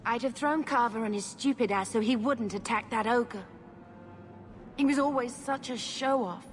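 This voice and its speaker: A woman's voice: on the high side, moderate pace, close to an everyday voice. A woman playing a devout, resolute younger sister in a video game, speaking earnestly and reflectively. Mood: earnest, wistful. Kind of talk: dramatic video game cutscene acting. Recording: long reverb, faint ambient noise.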